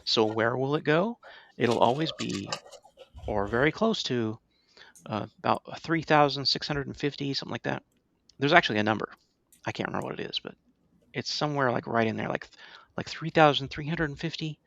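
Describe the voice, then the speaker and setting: A man's voice: medium pitch, a little fast, gravelly, a bit bright, no room sound, muffled recording. A middle-aged man co-hosting a podcast, explaining things in casual chat and often checking in with the listener. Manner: conversational, checks in with "right?". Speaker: podcast co-host.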